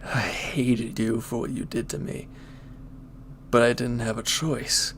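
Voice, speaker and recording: A man playing a former villain turned hesitant, conflicted lover, speaking earnestly and haltingly in an intimate audio roleplay. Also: medium pitch, flat delivery, a little hiss or hum, natural voice. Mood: regretful, earnest.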